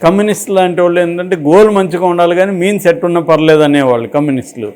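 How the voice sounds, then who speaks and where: A man's voice: high voice, rapid-fire, darkish tone. A man with a Telugu accent giving unscripted opinionated commentary, explaining through examples and rhetorical questions. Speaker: political and film commentator.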